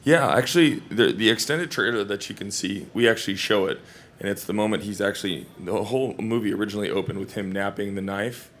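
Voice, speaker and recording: A man from Hawaii, an actor, answering questions at a Q&A in loose, rambling, animated anecdotes. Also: on the low side, quick pace, slight background noise. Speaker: actor and film guest at a Q&A. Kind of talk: casual Q&A storytelling.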